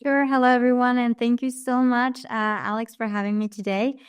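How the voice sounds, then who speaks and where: A woman speaks as a panelist, her mid-pitched voice smooth and clean, heard dry over a call. She goes at a measured pace, pausing often with "uh". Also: little pitch movement, no room sound. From France. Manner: measured, pauses with frequent "uh". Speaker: business coach and panelist. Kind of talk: panel introduction.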